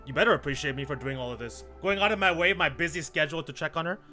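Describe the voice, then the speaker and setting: High-pitched, bright-voiced man live streaming. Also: rapid-fire, faint music behind. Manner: slangy, repeats himself, greets viewers mid-thought. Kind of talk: casual live stream chat and game commentary.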